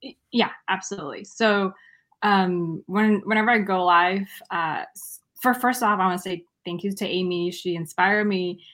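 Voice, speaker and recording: A woman's voice: mid-range voice, moderate pace, fairly bright tone. A woman from Malaysia, a guest answering interview questions in a hesitant way with many restarts.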